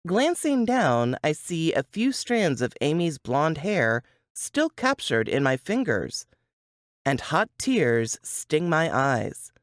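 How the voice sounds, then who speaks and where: Expressive, unhurried woman reading fiction aloud. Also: deep voice, no room sound, clean studio sound. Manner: deliberate, dramatic phrasing. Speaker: author reading from a novel. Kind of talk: expressive first-person fiction narration.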